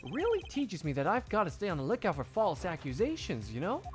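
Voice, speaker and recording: A man plays a smug, brash male prosecutor from a courtroom game. The voice is high and swings theatrically as he taunts and mocks, evasive and sure of himself, over music. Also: huge pitch swings, a little fast. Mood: smug taunting.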